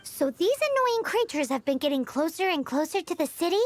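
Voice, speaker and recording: A woman voicing a tiny, cheeky, childlike fairy companion in a video game, squeaky and excitable, inquisitive and a little pouty. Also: a little fast, a little hiss or hum. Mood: curious. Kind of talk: English video game voice acting. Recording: loud game music behind the voice.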